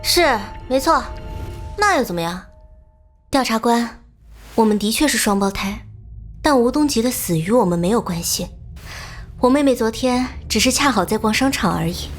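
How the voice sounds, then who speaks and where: Animated crime villainess secretary, voiced by a woman: composed, defiant, guarded, higher register, faint score behind. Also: moderate pace, pauses often, close to an everyday voice. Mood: cold denial. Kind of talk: animated crime drama acting. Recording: music behind.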